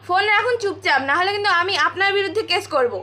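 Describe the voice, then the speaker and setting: A woman, acting in comedy phone-call skits in an exasperated, expressive way. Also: very high-pitched, rapid-fire, very noisy. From North Bengal, India. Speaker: comedy video creator.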